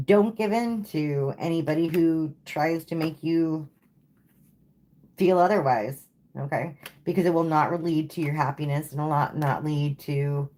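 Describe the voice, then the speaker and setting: Low, slow-talking tired woman reader. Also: dark tone. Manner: casual, repeats "okay?" and "like". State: tired, losing her voice. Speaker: tarot reader. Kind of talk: live-stream tarot reading.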